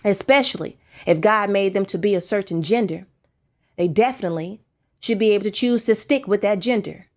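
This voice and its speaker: A woman's voice: medium pitch, brisk. A woman giving a casual spiritual testimony, earnest and insistent, who keeps tagging her points with "right?". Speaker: vlogger sharing spiritual testimony. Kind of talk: casual monologue.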